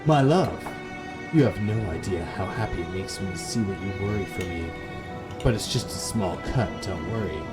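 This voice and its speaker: A man's voice: deep voice, moderate pace, over loud music, close to an everyday voice. A man playing an obsessive lovesick gothic woman. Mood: tender, unsettling. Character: Lilith, obsessive, lovesick, unstable young woman. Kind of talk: gothic horror visual novel dialogue.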